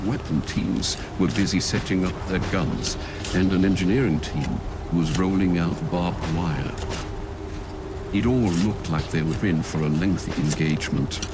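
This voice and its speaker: A man's voice: low-pitched, unhurried, noisy background. A man narrating a scripted war audio drama in a dramatic storytelling style. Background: loud music with battle effects.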